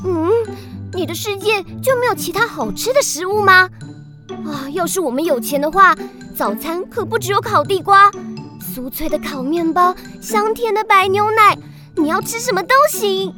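Grumbly dramatic middle sister, really high swoopy voice, kids audio drama. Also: female voice, animated, moderate pace, over music. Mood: annoyed, petulant. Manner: petulant, exclamatory, dramatic. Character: grumbling, ambitious, dreamy middle sister, young girl. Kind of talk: children's radio drama acting.

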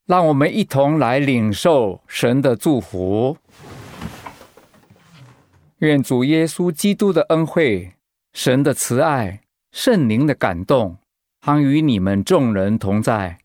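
An actor playing a caring pastor and husband in a radio drama, speaking earnestly. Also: male voice, medium pitch, animated, slow, darkish tone, no echo. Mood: solemn, uplifted.

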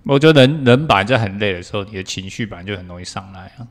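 A man's voice: lowish voice, expressive, rapid-fire. A man with a Taiwanese Mandarin accent, chatting casually as a podcast co-host. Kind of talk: casual chat.

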